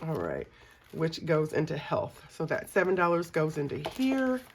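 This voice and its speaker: Low-voiced woman with a scratchy cold voice. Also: sing-song, moderate pace. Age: middle-aged. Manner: chatty, many ums, counts money aloud. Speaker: budgeting channel host. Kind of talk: casual chat while cash stuffing.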